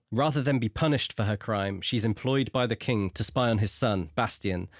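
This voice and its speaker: Man, lowish pitch, podcast co-host, casual book discussion, very dry recording. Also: no echo.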